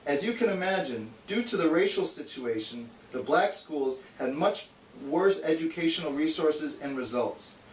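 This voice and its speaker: A man's voice: a little high, lively intonation, moderate pace, few pauses, natural voice. A man voicing a bookish, exasperated know-it-all puppet, explaining in a didactic, slightly condescending way in an amateur educational puppet show. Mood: earnest, didactic. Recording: echoey room, distant mic, hissy noise.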